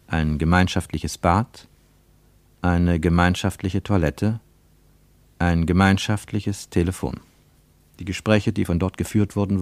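A man narrates a literary radio drama in a lowish voice. He phrases with care and emphasis, enunciating deliberately. The sound is very dry, with no room around the voice. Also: darkish tone, dry recording.

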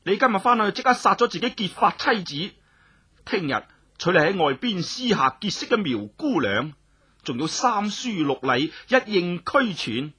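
Narrator acting out cold, imperious noblewoman with husky edge. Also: medium pitch, sing-song, moderate pace, pushed and bright, heavily acted. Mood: coldly commanding. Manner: scornful snorts, commanding, menacing. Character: cold, cruel, imperious noblewoman passing death sentences.

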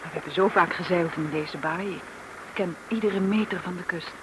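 An actor playing a matter-of-fact, obliging sailboat skipper who gives practical instructions in a radio play. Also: male voice, high-pitched, normal speed, close to an everyday voice. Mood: calm, practical. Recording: noisy old radio play recording.

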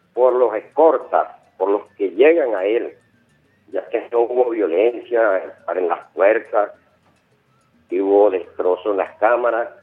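A middle-aged Venezuelan man calling a radio show, talking in an insistent, rambling way and repeating himself. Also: fairly low pitch. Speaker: caller. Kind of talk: phone-in radio call. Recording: phone line with faint music.

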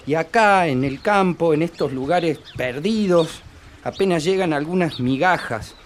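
A man's voice: on the high side, a little slow, a little hiss or hum, natural voice. A man with a northern Argentine accent playing a restless young country man in a radio play who dreams of city progress. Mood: insistent, scornful.

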